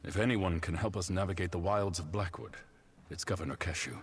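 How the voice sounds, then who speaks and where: An actor playing a weary, shaken councillor in a video game, speaking in a grave, measured, formal way with a husky voice. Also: male voice, sits low, slight background noise. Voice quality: husky, rough voice. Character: weary, shaken male councillor betrayed by a colleague. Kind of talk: video game character dialogue.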